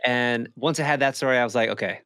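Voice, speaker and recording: A man's voice: mid-range voice, fast, a bit bright, clear, resonant tone, no echo, clean studio sound. A man co-hosting a podcast in casual comedic banter, with playful, mock-formal quips. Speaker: podcast co-host.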